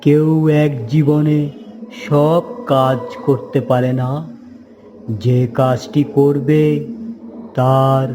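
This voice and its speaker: A man voicing a calm, wise uncle in a cartoon, admonishing youngsters in a measured, preachy way. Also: medium pitch, a little hiss or hum, rough recording.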